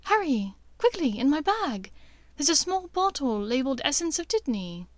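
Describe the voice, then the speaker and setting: A woman's voice: high-pitched, very animated, normal speed, no room sound, voice pushed up. A woman voicing an anxious young witch, urgent and pleading, in an audiobook story. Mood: urgent, panicked.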